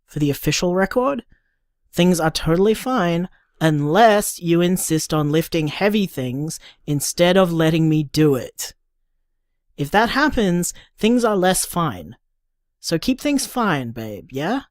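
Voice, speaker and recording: A woman acts out a caring but stubborn partner in an audio drama, in a low, very animated voice with a close, dry sound. The delivery is emphatic, informal and coaxing. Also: a little slow, no echo. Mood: reassuring, teasing. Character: caring, stubborn, sweary young partner planning a bar. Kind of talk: naturalistic audio drama monologue.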